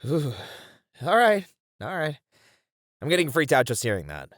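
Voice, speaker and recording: A man playing a hesitant but curious, excitable game developer, speaking casually and expressively in a scripted ASMR horror roleplay. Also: a little high, a little slow, no room sound, studio quality, full character voice. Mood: unnerved. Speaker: voice actor in an audio roleplay.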